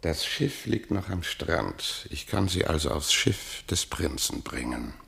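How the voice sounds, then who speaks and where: An actor playing a pompous, hectoring rogue who poses as a haughty courtier in a theatrical radio play. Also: male voice, extremely low pitch, sing-song, measured pace, vocal fry, fairly bright tone, breathy edge, full character voice. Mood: sly, scheming. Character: swaggering rogue posing as a haughty royal courtier.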